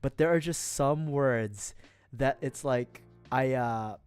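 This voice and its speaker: A man's voice: medium pitch, takes it slowly, a little mellow, clear, resonant tone. A young man with a Filipino accent, chatting casually on his livestream in rambling, giggly asides. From Philippines. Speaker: streamer. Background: faint music.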